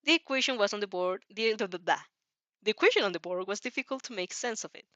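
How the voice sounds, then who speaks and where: A woman's voice: medium pitch, expressive, quick pace, a bit bright, dry recording, muffled recording. A woman informally narrating fan fiction, giggly, often stumbling and rereading phrases as she goes. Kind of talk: informal story narration with reactions.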